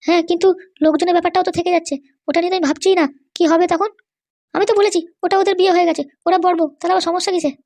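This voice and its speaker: A woman's voice: extremely high pitch, steady tone, racing, darkish tone. A woman voicing a scheming, fretful young woman who chatters to herself in an amateur comedy cartoon. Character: scheming young woman plotting around her secret boyfriend.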